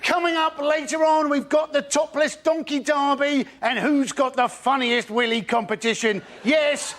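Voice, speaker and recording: A man's voice: very high-pitched, fairly even intonation, normal speed, a bit bright. A man comedian making wry, sardonic remarks on a panel show.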